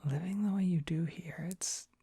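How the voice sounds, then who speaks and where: A performer voicing a gentle, shy young dragon boy in an ASMR comfort roleplay, speaking in a soft breathy whisper with a hesitant, tender, soothing manner. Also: mid-range voice, moderate pace, dry recording, studio quality. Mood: bashful, soothing.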